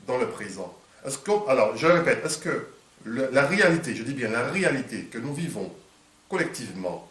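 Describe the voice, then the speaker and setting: A man interviewing, halting with many fillers and restarts, a little stuffed up from a cold. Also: medium pitch, fairly bright tone. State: congested from a cold. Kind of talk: hesitant conversational interview. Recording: echoey room, distant mic.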